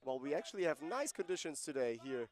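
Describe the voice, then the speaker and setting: A man's voice: fairly high pitch, animated, fast. Man calling a lacrosse game live. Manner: conversational, explains rules and player backgrounds between plays. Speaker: play-by-play commentator. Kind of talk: live sports commentary.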